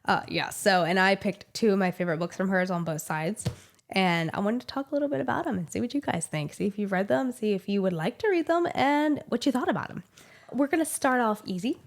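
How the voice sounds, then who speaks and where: A woman's voice: mid-range voice, animated, fairly quick. A woman in her thirties hosting a casual book review channel, chatty and gushing, her voice a little off from a small cold. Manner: chatty and gushing, many "like" fillers.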